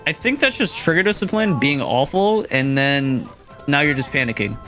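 Young man, fairly high voice, jokey co-host, explains things, faint music underneath. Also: normal speed. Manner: chatty, jokey, explains things, stammers when excited. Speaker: co-host reacting to gameplay clips. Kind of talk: casual reaction commentary.

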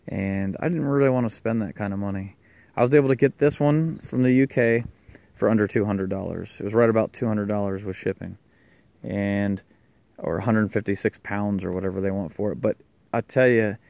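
A man's voice: mid-range voice, a little mellow, no echo. An American man giving a casual, unscripted explanation as a DIY video maker, talking outdoors into a handheld camera. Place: outdoors in a leafy wooded yard.

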